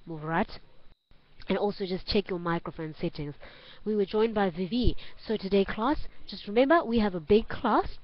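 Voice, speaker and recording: A woman with a South African accent teaching an online English class, giving instructions clearly and repeating phrases for learners. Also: medium pitch. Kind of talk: online group lesson. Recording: dull lo-fi online call audio.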